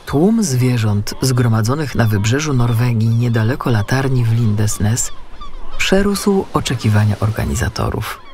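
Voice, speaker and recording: A man narrating a children's story as an audiobook, with expressive scripted delivery. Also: mid-range voice, a little slow, slight background noise.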